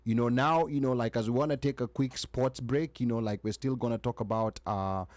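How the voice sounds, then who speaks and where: A man's voice: mid-range voice, fairly even intonation, fairly quick, a little mellow, no room sound. A man hosting a talk show, moderating and asking long questions with many "you know" fillers. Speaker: host.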